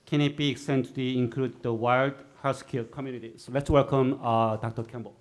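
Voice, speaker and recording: A man's voice: medium pitch, fairly even intonation, moderate pace. A man moderating a seminar who introduces the next speaker hesitantly, with many fillers.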